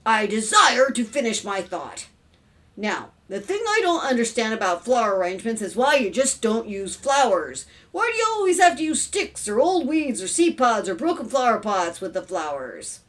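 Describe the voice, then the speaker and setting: An exasperated middle-aged storybook dad, acted out by a woman reader. The delivery is stern and commanding, with bright, forceful emphasis and animated swings of pitch. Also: normal speed.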